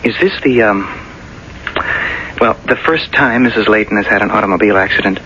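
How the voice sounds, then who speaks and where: A man playing a tactful, concerned doctor who speaks haltingly and carefully, in 1970s radio drama style on a muffled recording. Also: on the low side, bright, forceful tone, a little hiss or hum. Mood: hesitant concern. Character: hesitant, tactful hospital doctor, gravely concerned.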